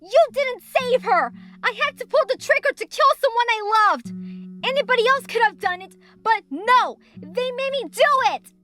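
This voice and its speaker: Woman acting out a young cartoon fox boy, high voice, emotional, pleading, worn out, music behind. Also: animated, normal speed, sharp tone, heavily acted. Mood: furious, anguished. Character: anguished, exhausted young male fox kid, cartoon game character.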